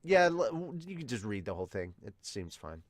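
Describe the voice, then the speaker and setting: A man's voice: on the low side, sing-song, fairly quick, slight background noise. A man co-hosting an anime fan podcast, talking casually and bluntly in a rambling, sometimes sweary way to viewers. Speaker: co-host of an anime and manga podcast.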